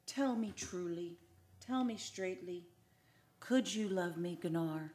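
Imperious longing sea enchantress, lowish voice, very slow deliberate speech, formal, old-time radio drama. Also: female voice, a little mellow. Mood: yearning, grave. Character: mysterious, imperious sea woman, longing for love.

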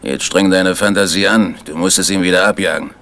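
A man's voice: deep voice, brisk, fairly bright tone. A man playing a menacing, sardonic crime boss in a 1970s German radio play, mocking and commanding.

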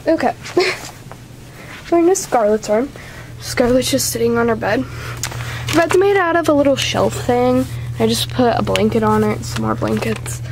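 High-voiced young woman, casual and giggly. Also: animated, measured pace, creaky, pauses often. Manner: giggly, self-deprecating, apologetic. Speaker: vlogger giving a home tour. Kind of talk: casual rambling show-and-tell narration. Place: small carpeted room at home. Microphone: handheld camera's built-in mic. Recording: noisy handheld amateur camera audio.

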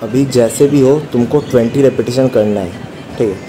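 A man's voice: medium pitch, brisk, noisy background. A young man explaining chest exercises in a casual gym tutorial, speaking straight to his viewers like friends. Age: young adult. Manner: casual, instructive, addresses viewers as brothers. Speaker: fitness vlogger. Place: small indoor gym. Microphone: camera on a stand a few steps away. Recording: echoey gym room with music.

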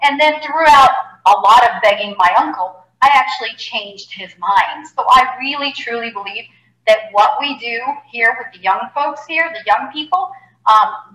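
Middle-aged woman zoologist from Costa Rica, a bit high, animated and chatty, recorded in a roomy space. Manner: chatty, animated, many fillers and restarts. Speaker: zoologist educator. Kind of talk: live animal presentation. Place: indoor animal facility room.

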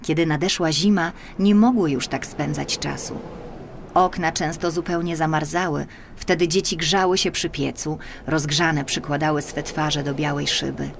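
A woman's voice: deep voice, steady tone, moderate pace, creaky, a little hiss or hum. A woman narrating a children's fairy tale expressively, drawing out key words for emphasis. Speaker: audiobook narrator.

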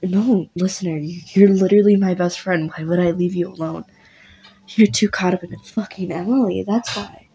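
A man's voice: high voice, steady tone, moderate pace, gravelly, warm tone, husky, full character voice, rough recording. A woman voicing a swearing, pushy young man. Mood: insistent. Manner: swearing, insistent, confrontational. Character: angry, pushy young male best friend.

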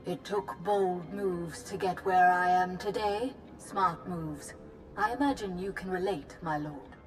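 A woman's voice: on the low side, measured pace, close to an everyday voice. A woman playing a bold, ruthless female admiral in a video game, commanding and formal, with a sardonic edge. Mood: confident. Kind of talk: video game cutscene acting. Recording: orchestral game music behind.

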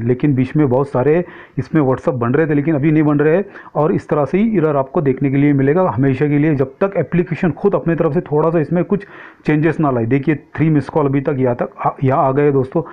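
A man's voice: on the high side, narrow, tinny audio. A man giving a casual, explanatory tech tutorial, often addressing his viewers directly. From India. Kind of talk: casual screen-recording tutorial commentary.

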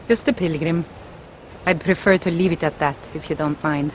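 Actress voicing a game pilgrim: quiet, guarded, matter-of-fact, lowish voice. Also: female voice, fairly even intonation, moderate pace, a little hiss or hum, close to an everyday voice, low-quality recording.

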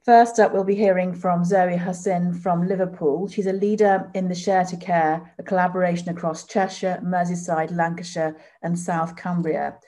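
Woman in her 40s chairing an online panel, lowish mellow voice, measured. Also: steady tone. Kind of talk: scripted conference introduction. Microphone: video call.

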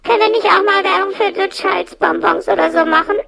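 A tiny plush monster for a comic audio play, extremely high-pitched and full of creak. The creature sounds strained and keeps clearing its throat. It rambles in a cheeky, mock-earnest, self-pitying way. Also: female voice, steady tone, normal speed, fairly bright tone, full character voice. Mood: chatty. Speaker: host.

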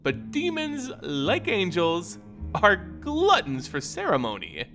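A man's voice: sits high, wildly swooping pitch, slow pace, vocal fry, bright, forceful tone, faint music behind, voice pushed up, exaggerated voice. A man voicing a haughty female vampire lord in a fantasy story, coy, mocking and condescending. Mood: boastful, playful.

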